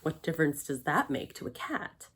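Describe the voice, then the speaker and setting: An unruffled female dragon from a children's storybook, voiced by a woman. She is dry and matter-of-fact, speaking at a slightly low pitch. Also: lively intonation, moderate pace, fairly bright tone, slightly rough, exaggerated voice.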